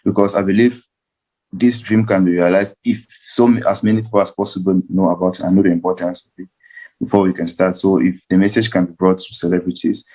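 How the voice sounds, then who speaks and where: A man's voice: low-pitched, level tone, fairly quick, mellow, poor audio. A man giving earnest, unscripted remarks in a discussion, often rephrasing as he goes. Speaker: discussion participant.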